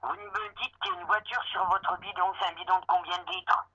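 A woman's voice: lowish voice, flat delivery, a little fast, pushed and bright, breathy edge. A woman working as a supermarket employee, insistent and exasperated as she deals with a customer by phone. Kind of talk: customer service phone call. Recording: dull phone line with noise.

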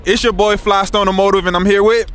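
A man's voice: sits high, fairly even intonation, quick pace, a bit bright. A man hosting a street trivia game, talking in a playful, slangy way and laughing often. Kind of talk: casual hype street hosting. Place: outdoors on a city street.

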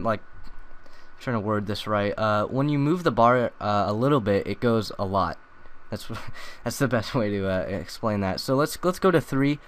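A young man talking through a software tutorial in a loose, rambling way, full of ums and uhs. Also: mid-range voice, clean tone. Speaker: tutorial maker. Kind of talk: casual screen-recorded tutorial.

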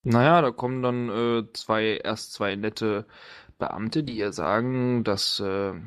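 A man narrating a tabletop role-playing game as game master, improvising in a casual, halting, colloquial way. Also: fairly low pitch, fairly even intonation, fairly bright tone, smooth.

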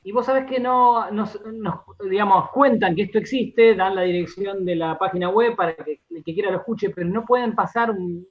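Argentine guy getting interviewed, voice a bit high, keeps restarting phrases, kinda muffled sound. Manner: halting, circles back and repeats words as he explains. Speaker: interview guest, radio drama director and writer. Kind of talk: radio interview, conversational.

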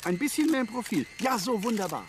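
An actor playing a cynical, smug newspaperman with a gravelly, husky voice and a theatrical, mocking delivery in a radio play. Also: high-pitched, sing-song, fast, background noise. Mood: bossy. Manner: sardonic, theatrical.

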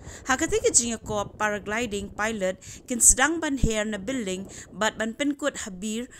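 A woman reading a scripted voice-over narration in an even, measured way. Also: on the high side, a little hiss or hum.

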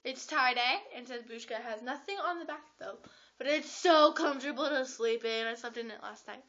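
A teenage girl excitedly recounting her day in a chatty webcam vlog, full of "like" and quick asides, recorded in a small carpeted room. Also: high voice. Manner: gushing, chatty storytelling with frequent "like". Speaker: vlogger. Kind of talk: excited casual vlog recap. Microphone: laptop webcam at close range. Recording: dull lo-fi webcam audio.

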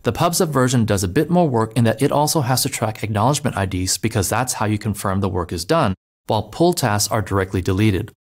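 A man giving a scripted, step-by-step narration of a software migration tutorial, speaking clearly and steadily as a voice-over. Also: fairly low pitch, lively intonation, brisk. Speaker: developer advocate. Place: voice-over over screen recordings.

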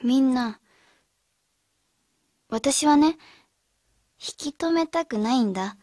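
A woman's voice: sits high, animated, slow, warm tone, no echo, studio quality, full character voice. A woman acting as an earnest teenage schoolgirl who leads her club friends in a radio drama. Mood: earnest, gentle.

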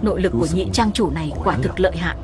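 A woman's voice: fairly low pitch, very fast, over music. A woman reading a scripted voice-over for all the parts of a costume drama.

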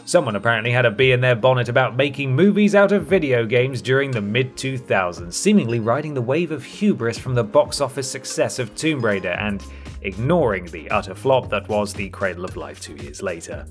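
Guy doing list video voiceover, wry little asides, medium pitch, music in the background. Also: lively intonation, brisk. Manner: wry, tongue-in-cheek asides. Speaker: presenter of a video game list show. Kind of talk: scripted countdown narration. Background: music bed.

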